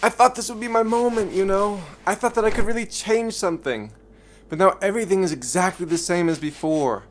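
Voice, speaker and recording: A man playing an earnest, unsure young man in an audio drama, speaking haltingly. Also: high voice, a little hiss or hum, close to an everyday voice. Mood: despairing. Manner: hesitant, halting delivery.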